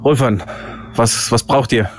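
A man's voice: mid-range voice, unhurried. A man playing a tabletop role-playing game, switching between casual chat and narrating his character's actions. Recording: faint music behind the voice. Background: faint music.

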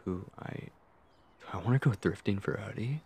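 A man playing a nervous, lovestruck, obsessive young man in an intimate ASMR roleplay, stammering and muttering to himself in a breathy, husky voice. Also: fairly low pitch, moderate pace, dark tone. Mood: shy, apologetic.

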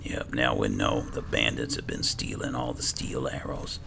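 A man's voice: a bass voice, fairly even intonation, moderate pace. A man muttering sparse remarks as he gives roleplay commentary over a video game. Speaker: gaming host. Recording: clearly noisy game ambience behind. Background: game ambience.

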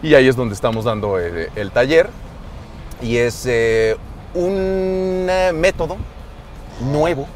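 A man with a Mexican accent, a voice actor and announcer, explaining his work in a relaxed chat as a passenger in an open-top car. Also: mid-range voice, slow pace, slight background noise. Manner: easygoing, explains patiently with many fillers. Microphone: car-mounted camera.

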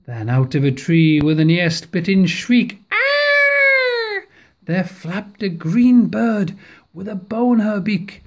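Lady reading a kids book out loud super slow, voice goes up and down a lot, phone mic at home. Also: fairly low pitch, breathy edge. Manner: expressive, dramatic read-aloud. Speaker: storybook reader. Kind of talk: reading a picture book aloud.

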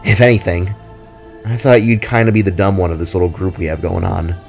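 A man voicing a caring, frank female fantasy creature with a gentle, hesitant manner and quiet sorrow. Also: sits low, fairly even intonation, brisk, vocal fry, faint music behind. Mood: candid, subdued.

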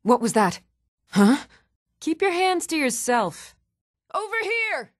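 An actress playing a steady, firm young woman in a fantasy video game, speaking with measured, earnest delivery. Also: sits high, no room sound, studio quality, exaggerated voice. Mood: alarmed. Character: even-toned young adult woman of a fantasy world, steady and stern.

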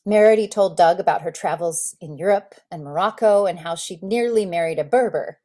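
A woman in her forties reads a memoir as an actor would, with expressive, deliberate phrasing and dramatic pauses, recorded indoors on a video call. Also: mid-range voice. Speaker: actor reading a memoir excerpt. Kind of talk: dramatic literary reading, narrative storytelling.

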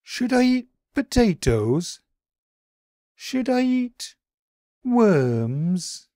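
A man's voice: high voice, sing-song, very slow, warm tone, no room sound, clean studio sound, voice pushed up, full character voice. A man voicing a naive, curious young rabbit in a wondering, questioning manner for a storybook. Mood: puzzled.

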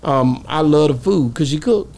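A man's voice: on the high side, expressive, normal speed, gravelly, darkish tone. A middle-aged man with a Southern US accent hosting a podcast with playful, slangy, teasing banter, close on a studio mic. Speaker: podcast host. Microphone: close studio microphone with headphones.

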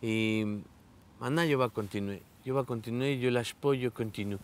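A man in his thirties from Mexico, with a Mexican Spanish accent, speaking French as a learner; an adult literacy student giving an earnest, halting testimonial outdoors in a riverside park, with many restarts and fillers. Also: mid-range voice. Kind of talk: filmed testimonial interview.

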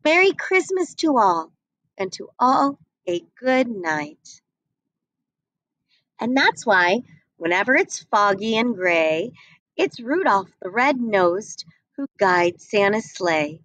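Slow, expressive young woman reading aloud. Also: mid-range voice, no echo, poor audio. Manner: slow, expressive rhyming delivery. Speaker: storybook reader. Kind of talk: read-aloud storytelling. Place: small indoor room. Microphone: webcam at desk.

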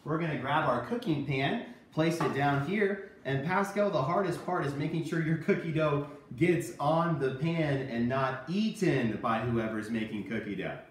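A man in his thirties presenting a cooking lesson for children, playing the animated straight man. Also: a little high, moderate pace, hardly any pauses. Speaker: host of a children's cooking show. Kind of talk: scripted instructional presenting with puppet banter. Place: home kitchen. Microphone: camera a few meters away. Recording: very echoey room, distant microphone.

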